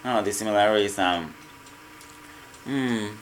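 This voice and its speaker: Deep-voiced man with a Mexican accent. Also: slight background noise, poor audio. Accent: Mexican Spanish. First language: Spanish. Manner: halting, repeats words, non-native grammar. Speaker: restaurant cook. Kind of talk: casual interview.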